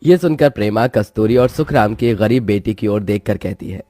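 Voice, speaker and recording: A man's voice: on the low side, expressive, moderate pace, dark tone, no room sound, clean studio sound. A man reading a scripted moral story as its narrator, with music behind him.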